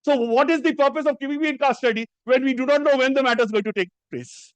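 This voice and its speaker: A man's voice: very high voice, fast talker, clear, resonant tone, dry recording, lo-fi sound. A man speaking English with a Bengali accent, arguing a case as an advocate in a courtroom, emphatic and constantly addressing the bench as "my lord". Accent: Indian English, Bengali. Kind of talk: courtroom argument.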